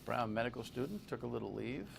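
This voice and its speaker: A man's voice: medium pitch, animated, fast, vocal fry, background noise. A man hosting a TV talk show, commenting and interviewing off the cuff in an informal, wry, emphatic and slangy way.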